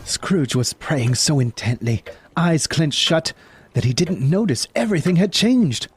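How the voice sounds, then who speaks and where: A man's voice: on the high side, lively intonation, a little slow, slight background noise. A man narrating a scripted audio drama as a storyteller.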